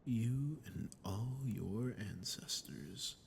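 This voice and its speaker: A man playing a sadistic, smug archdemon in an ASMR roleplay, talking down to the listener with slow, mocking condescension. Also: fairly low pitch, exaggerated voice. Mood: accusing. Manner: mocking, condescending, slow taunting delivery with breathy drops. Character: sadistic, smug male archdemon toying with a captive ruler. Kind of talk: ASMR audio roleplay, dramatic monologue to the listener.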